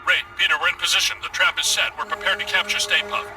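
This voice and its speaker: Fast-talking, precise ghost-catching scientist played by a man. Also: high voice, steady tone, background noise, close to an everyday voice. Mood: urgent. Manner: matter-of-fact, clipped, formal. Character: brisk, earnest, precise male scientist on a ghost-catching team. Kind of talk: video game voice acting. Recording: distant, music behind.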